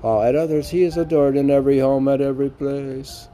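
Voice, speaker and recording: A man talking casually in a halting, musing, repetitive way and reciting poetry as a podcast host, on an amateur recording. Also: medium pitch, steady tone, mellow, faint music behind, poor audio. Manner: halting, musing, repeating phrases. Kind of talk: rambling casual talk and poem recitation.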